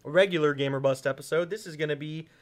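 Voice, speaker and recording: A man co-hosting a gaming channel, joking loudly and swearing in casual banter over gameplay. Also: on the high side, quick pace. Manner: loud, joking, swears a lot, bursts into sing-song noises.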